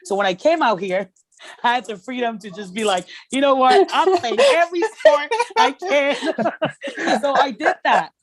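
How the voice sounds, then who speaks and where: Tongan-born mother, candid and halting. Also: high-pitched, animated. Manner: candid, halting, restarts often. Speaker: podcast guest. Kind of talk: casual conversation.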